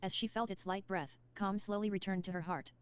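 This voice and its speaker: A woman reading scripted story narration in a measured, articulate storybook manner. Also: mid-range voice, fairly even intonation, clean tone, muffled recording, lightly acted. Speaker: narrator of character lore.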